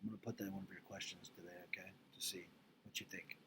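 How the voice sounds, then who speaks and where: A man's voice: low voice, flat delivery, fast talker, very noisy. A man speaking as a teacher, casually recapping and reading a storybook aloud in an informal, explanatory way, checking in with listeners.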